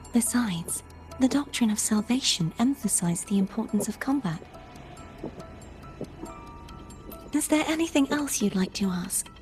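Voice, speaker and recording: Video game role: solemn young female ex-warrior, higher pitch, measured and formal, slightly breathy, music behind. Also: a little mellow. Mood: calm, somber. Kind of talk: scripted video game dialogue.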